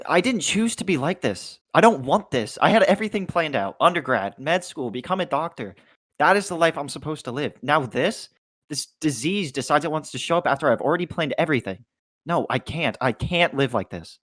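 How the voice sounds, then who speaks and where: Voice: medium pitch, expressive, a little fast, a bit bright. A young adult performer reading the part of a stubborn, anguished college freshman boy on the run at an amateur script table read. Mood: desperate, upset.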